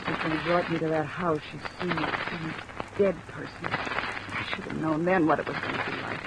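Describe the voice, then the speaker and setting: An actress playing a young, embittered and defensive confessed killer in a 1950s radio drama, halting, emphatic and emotionally strained. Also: female voice, deep voice, vocal fry. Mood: bitter, brittle. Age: young adult. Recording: old radio recording under rain noise. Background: steady rain sounds.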